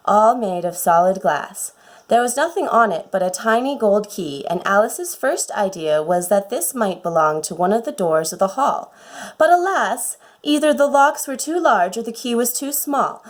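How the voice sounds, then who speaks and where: A woman reading a children's storybook aloud with a put-on British accent, in an expressive storytelling manner. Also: medium pitch. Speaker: audiobook reader.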